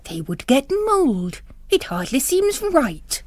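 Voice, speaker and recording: A woman's voice: high voice, sing-song, slow, a little mellow, no echo, voice pushed up, heavily acted. A woman voicing a pious, anxious, devoted female housekeeper, earnest and fretful, in a literary drama. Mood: anxious pleading.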